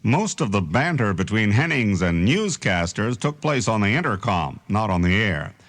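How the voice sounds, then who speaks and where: A man's voice: fairly low pitch, big pitch swings, normal speed, fairly bright tone. A man hosting a radio tribute, reading scripted narration. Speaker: radio host.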